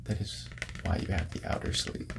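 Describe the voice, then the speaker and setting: A man soft-speaking and whispering in an ASMR role-play as a laid-back, friendly record store clerk, repeating phrases in casual sales patter. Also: normal speed, heavy background noise.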